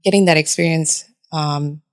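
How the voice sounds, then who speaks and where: A woman's voice: sits low, moderate pace. A woman in her thirties from Sri Lanka whose first language is Japanese, chatting as a podcast guest and laughing often. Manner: laughs often, relaxed storytelling. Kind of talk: casual podcast chat.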